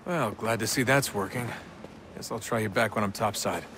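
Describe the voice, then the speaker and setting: A wry, offhand young agent voiced by a man in a naturalistic game scene. His voice is on the low side, with clear street noise behind it. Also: normal speed, close to an everyday voice. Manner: casual, sarcastic, offhand. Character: laid-back, wry young male modern agent. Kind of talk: naturalistic video game acting. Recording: noisy vehicle and street ambience.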